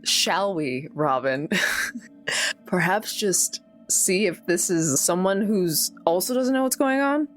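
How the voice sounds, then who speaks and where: A woman's voice: fairly low pitch, slow pace, soft background music. A woman playing a tabletop roleplaying game, chatting playfully and full of exclamations. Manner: animated, exclamatory. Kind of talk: casual playful game chat.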